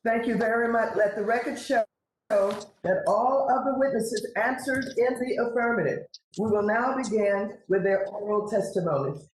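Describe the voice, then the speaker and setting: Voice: mid-range voice, moderate pace, echoey room, a little hiss or hum, low-quality recording. A person chairing a hearing, firm and procedural in manner, calling on witnesses and keeping order. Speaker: committee chair. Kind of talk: presiding over a hearing.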